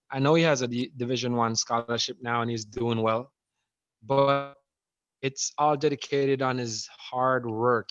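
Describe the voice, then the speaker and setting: A man's voice: mid-range voice, no echo. Brief: earnest, level-toned man, phone held close, dull and dry call audio. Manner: earnest, repeating words as he speaks. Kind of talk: video call interview. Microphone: phone held close on a video call.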